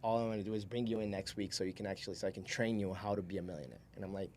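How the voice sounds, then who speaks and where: A young man with a fairly low voice tells stories as a podcast guest. He talks informally and wanders between thoughts. There is faint noise behind him. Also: fairly even intonation, very fast, darkish tone. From Brazil. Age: 20s. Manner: informal, rambling, chuckles while talking. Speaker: podcast guest, solar salesman. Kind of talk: casual storytelling in an interview.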